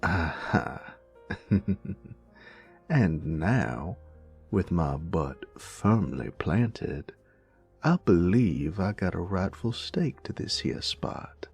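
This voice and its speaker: A man playing a flirtatious, doting roommate and lover in an intimate ASMR roleplay, with a teasing, folksy drawl and breathy, whispery moments. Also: very animated, drawn-out words, a little mellow, faint music behind, full character voice. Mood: playful, upbeat. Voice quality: very deep, gravelly, breathy whispers.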